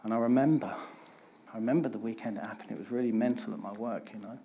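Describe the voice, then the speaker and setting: A man's voice: lowish voice, fast, warm tone, slight background noise. Candid man telling his story. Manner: candid, emphatic storytelling with repeated phrases. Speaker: conference speaker. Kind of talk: personal recovery talk.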